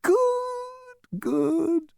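A sly, beaming psychiatrist , as a male reader puts him on, with a high, sing-song lilt. The pitch swoops widely. The sound is dry and close. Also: expressive, very slow, vocal fry, a little mellow, no room sound, exaggerated voice. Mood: smarmy. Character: smarmy cheerful male psychiatrist, grinning and sly. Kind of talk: audiobook character reading.

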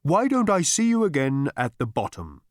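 A man voicing a disembodied spirit in an audiobook, soft and ethereal, speaking slowly with wistful courtesy. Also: fairly high pitch, wildly swooping pitch, no echo, clean studio sound, pitched above the performer's own voice. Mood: calm, helpful. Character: disembodied male spirit, deep, quiet, ethereal, wistfully polite. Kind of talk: audiobook character voice.

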